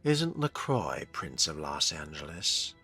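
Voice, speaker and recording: A man's voice: rumbling low, sing-song, slow pace, bright, forceful tone, breathy edge, soft background music. A man narrating a video game in character, with a dry, sardonic and wordy manner. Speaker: gamer role-playing his character.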